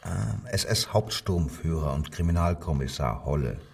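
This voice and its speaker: An actor playing a menacingly jovial SS police commissioner in a German radio crime drama, insinuating with drawn-out questions and mocking chuckles. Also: male voice, very deep voice, lively intonation, vocal fry, husky, exaggerated voice. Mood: probing, accusing.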